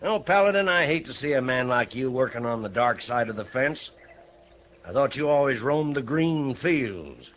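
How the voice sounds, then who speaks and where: An actor playing a shrewd, grudge-holding old ranch owner in a radio Western, with a folksy, needling American Western drawl. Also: male voice, mid-range voice, expressive, normal speed, fairly bright tone, slight background noise, natural voice. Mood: shrewd, blunt. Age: older. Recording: muffled vintage radio broadcast.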